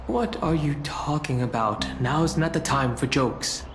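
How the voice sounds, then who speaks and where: A man voicing a brisk, matter-of-fact young companion in a video game. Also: fairly high pitch. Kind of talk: English video game voice acting. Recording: echoey, music underneath.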